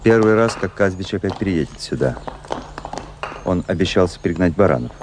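An actor playing a cool, cunning young officer, a teasing and self-assured tempter, in a radio drama. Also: male voice, low-pitched, animated, moderate pace, noisy background. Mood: scheming.

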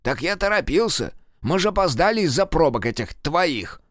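A man's voice: a little high, very animated, moderate pace, fairly bright tone, no echo, studio quality, pitched above the performer's own voice. A man voicing a bossy, scheming old grandfather with a crude, commanding manner in an audiobook. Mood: sheepish, wheedling.

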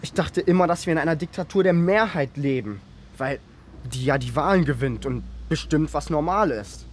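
A man's voice: a little high, moderate pace, a little hiss or hum, natural voice. An actor playing an idealistic, defiant young man, a politician's activist son, in a radio play. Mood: earnest. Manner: earnest, defiant. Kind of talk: German radio play acting.

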